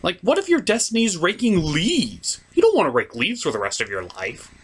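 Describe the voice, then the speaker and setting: A man with a somewhat high voice presents a comedic cartoon review. His intonation swings widely, and he leans into mock-dramatic emphasis. The commentary is scripted, with conversational asides. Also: huge pitch swings, a little fast, husky. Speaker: host of a cartoon review show.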